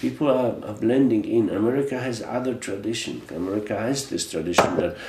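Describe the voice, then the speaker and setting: A middle-aged man with a Polish accent talks through his thoughts slowly, stopping and restarting as he searches for words. His voice sits a little low. The recording has an echoey, roomy sound. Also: very noisy. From Poland. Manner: halting, restarts often, reflective. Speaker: guest. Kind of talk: casual interview chat.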